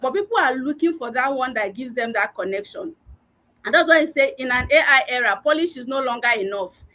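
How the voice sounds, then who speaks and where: A woman's voice: high-pitched, animated, fairly quick, bright, forceful tone. A woman giving an earnest, personal talk as a panelist on a live online discussion, with frequent filler words. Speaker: learning and development head.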